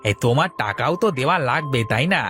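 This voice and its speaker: Guy doing a chummy admiring customer in a cartoon, medium pitch, bit of music behind. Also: fairly quick, natural voice. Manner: chummy, flattering. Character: friendly, admiring male samosa customer. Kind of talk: cartoon story dialogue.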